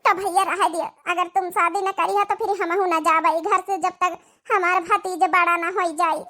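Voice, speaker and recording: Woman voicing a very high-pitched plaintive young sister. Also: fairly even intonation, normal speed, sharp tone. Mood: earnest, resolute. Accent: Awadhi. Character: devoted, grieving young unmarried sister in a village cartoon. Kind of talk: dramatic cartoon dialogue in dialect.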